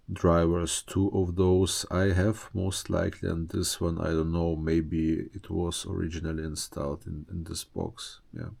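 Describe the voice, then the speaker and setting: Very deep, halting man, gravelly. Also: a little mellow. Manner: hesitant, halting, searching for words. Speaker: hobbyist presenter. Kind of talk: casual unscripted show-and-tell to camera.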